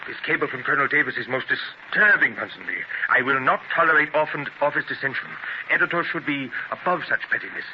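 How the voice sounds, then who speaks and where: Male actor as driven newspaper tycoon, theatrical earnest delivery, mid-pitched, 1930s radio drama, noisy old transcription. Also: fast talker, highs cut off, close to an everyday voice. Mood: agitated. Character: ambitious, intense immigrant newspaper publisher, a man.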